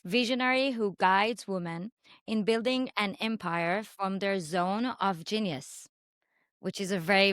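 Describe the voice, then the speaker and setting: A woman's voice: mid-range voice, fairly bright tone, dry recording, studio quality. A woman whose first language is Hebrew, hosting a podcast interview over a video call, speaking enthusiastically with lots of fillers. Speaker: podcast host. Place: home in Dallas.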